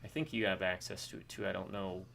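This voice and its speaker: A man's voice: low voice, fairly even intonation, fast, gravelly, fairly bright tone, slight background noise. A man chatting casually while playing a co-op game, joking and thinking aloud. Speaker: co-op game player. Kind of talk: casual gameplay chat.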